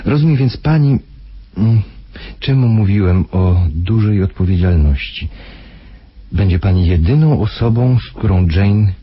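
An actor playing a grave, smoothly persuasive husband who speaks haltingly with weighty pauses in a radio play. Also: male voice, on the low side, animated, dull audio. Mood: grave, measured.

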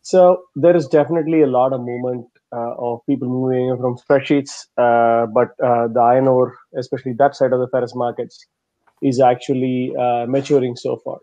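Man, panelist, mellow, methodical, lo-fi call audio. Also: mid-range voice, level tone, moderate pace. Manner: structured, numbered points, many "uh"s. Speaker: panelist, software specialist. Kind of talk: webinar panel discussion.

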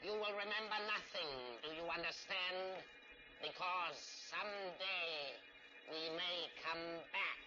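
An actor playing an alien intelligence that speaks through a professor, in a flat voice that is hardly human, in a 1950s-style radio drama. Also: male voice, high voice, slow pace. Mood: cold, commanding. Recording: dull old radio recording with music.

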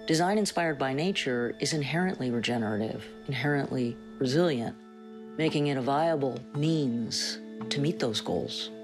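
A woman narrating a scripted voice-over in a measured, formal way. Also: very deep voice, expressive. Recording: voice-over under music. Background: music.

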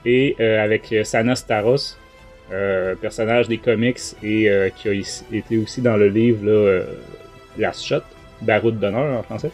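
A man's voice: lowish voice, steady tone, measured pace, darkish tone. Hesitant Quebec man over background music. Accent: Quebec French. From Quebec, Canada. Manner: hesitant, rambling. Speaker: podcast host. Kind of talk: casual review discussion.

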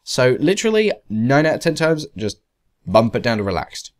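Guy doing a casual software walkthrough, voice goes up and down a lot, bit wry. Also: mid-range voice, big pitch swings, moderate pace. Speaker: tutorial presenter. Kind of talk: informal screen-recorded software tutorial. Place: voice-over to a screen capture.

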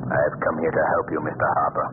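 An actor playing an invisible, commanding crime-fighter in a 1930s radio drama, questioning in a deliberate, probing way. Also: male voice, rumbling low, monotone. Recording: tinny, noisy 1930s radio recording.

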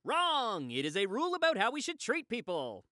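An actor voicing a chirpy, brutally honest robot assistant with a matter-of-fact, taunting manner in an animated comedy. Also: medium pitch, normal speed, dry recording, clean studio sound. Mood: bluntly mocking. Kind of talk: animated comedy character acting.

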